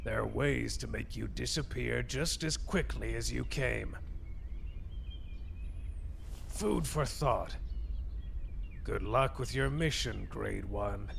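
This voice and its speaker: A man voicing a cold, contemptuous elder official in a roleplay audio drama, commanding and menacing, with a gravelly, raspy voice. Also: medium pitch, takes it slowly, fairly bright tone, a little hiss or hum, heavily acted. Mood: quiet menace.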